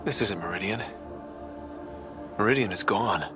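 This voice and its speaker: An actor playing a determined young space explorer in a video game, leading a team with an earnest, commanding manner. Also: male voice, on the low side, normal speed, over music, lightly acted. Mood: grim, thoughtful. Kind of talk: video game cutscene acting.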